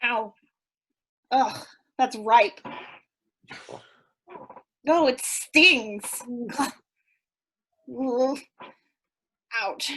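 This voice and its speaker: A woman's voice: a little high, drawn-out words, long pauses, fairly bright tone, breathy edge, exaggerated voice, rough recording. A woman playing an anxious, naive young character who rambles nervously in a staged play reading. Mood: pained, anxious.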